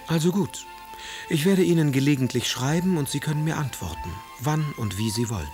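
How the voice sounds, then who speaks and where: An actor playing a passionate, melancholic and sardonic Romantic poet in a literary radio drama, with a husky voice. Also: male voice, fairly high pitch, animated, fairly quick, over music, close to an everyday voice. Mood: wounded, brusque. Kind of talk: literary radio drama, reading letters aloud.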